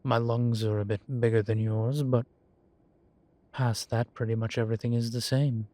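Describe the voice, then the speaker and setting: A man playing a composed, teasing demon professor in a roleplay audio, speaking in a formal, measured and wry way. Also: medium pitch, flat delivery, mellow, no room sound, lightly acted. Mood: playful explaining. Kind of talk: scripted roleplay audio, one side of a conversation.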